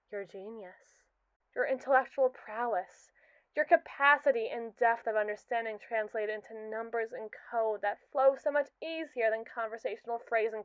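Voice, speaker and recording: A condescending, scheming robot AI, voiced by a young woman talks in a wordy, arch and mocking way, leaning on slow, drawn-out words. The voice sits a little high and sounds smooth. It comes through dry and tinny, like a phone line. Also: dry recording, lightly acted. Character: haughty, scheming captive robot AI, sardonic and condescending. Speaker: ASMR roleplay performer. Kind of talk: scripted ASMR roleplay monologue.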